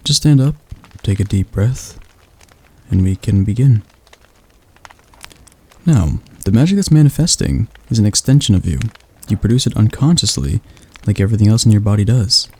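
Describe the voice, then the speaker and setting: Man voicing a husky, soothing demon tutor. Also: on the low side, lively intonation. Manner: soft, slow, soothing delivery; slight stutter. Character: calm male demon tutor, gentle and reassuring. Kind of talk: ASMR roleplay.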